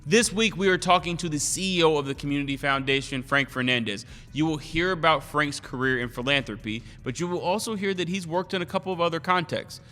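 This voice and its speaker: A man hosting an interview podcast, upbeat and quick to laugh, sometimes stumbling as he frames his questions. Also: fairly high pitch, bright, forceful tone. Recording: roomy reverb.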